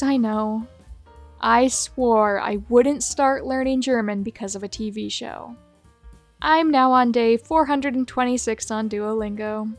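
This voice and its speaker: A woman hosting a video who reads out short fan confessions one after another in a brisk, matter-of-fact way. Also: a little high. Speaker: host reading viewer submissions. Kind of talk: reading aloud a list of fan confessions.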